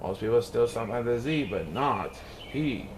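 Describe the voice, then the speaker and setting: Animated man reading rhymes, noisy recording. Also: medium pitch, unhurried. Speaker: picture book reader. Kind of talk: expressive read-aloud of rhyming children's story.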